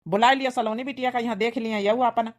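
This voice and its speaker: A woman's voice: on the high side, steady tone, fast talker, lightly acted. A woman voicing a practical village uncle playing matchmaker in a cartoon comedy, plain-spoken and reassuring, with an Awadhi accent. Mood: businesslike. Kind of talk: cartoon comedy dialect acting.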